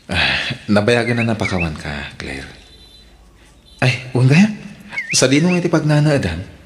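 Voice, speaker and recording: A man's voice: medium pitch, expressive, a little slow, noisy background, lightly acted. A man with an Ilocano accent acting in a radio drama, playing a forgiving, earnest suitor who works abroad. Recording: radio drama with music underneath.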